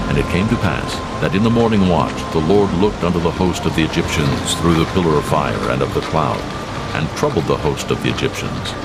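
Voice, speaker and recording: A man narrating scripture solemnly, in a grave, measured, scripted style. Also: a bass voice, gravelly. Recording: prominent music behind the voice.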